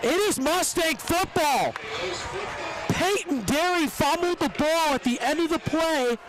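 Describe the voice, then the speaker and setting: A man with a high, squeaky voice calls a football game play by play. He is a little under the weather but stays quick and energetic through the action. His tone is that of live sports commentary. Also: low-quality recording. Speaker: play-by-play announcer.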